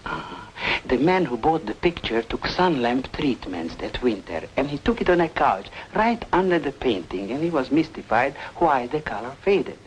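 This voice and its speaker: A man's voice: medium pitch, very animated, moderate pace, a little hiss or hum, natural voice, poor audio. A man playing a helpful, talkative small-town art shop owner, musing aloud in an old-time radio drama. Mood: reminiscing.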